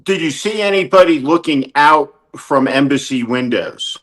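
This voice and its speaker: A man hosting a live news interview, measured and asking direct questions. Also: on the high side, lively intonation, a bit bright. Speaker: host.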